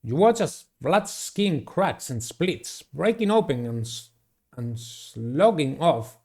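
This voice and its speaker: A man's voice: on the high side, darkish tone. A man reading a visual novel aloud as a playthrough, with dramatic, theatrical storytelling. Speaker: game player reading aloud.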